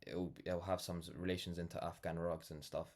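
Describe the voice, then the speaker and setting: Low-pitched voice, nineteen-year-old man, London accent, casual monologue, earnest, rambling, reflective. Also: flat delivery, a little fast. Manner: rambling, earnest, peppered with "like" and "you know". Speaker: vlogger, brand founder.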